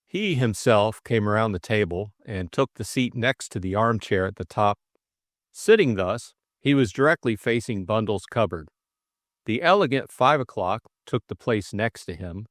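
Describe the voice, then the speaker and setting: A man's voice: on the low side, no room sound, clean studio sound. A man reading a classic mystery audiobook as scripted dramatic narration.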